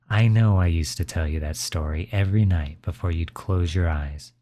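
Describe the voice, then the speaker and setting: Man playing a low, slow, gentle comforting dad. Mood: tender, encouraging. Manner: soft, soothing, unhurried encouragement. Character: gentle, reassuring, wholesome father comforting his child. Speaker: ASMR roleplay voice actor. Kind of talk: ASMR roleplay, gentle comforting monologue.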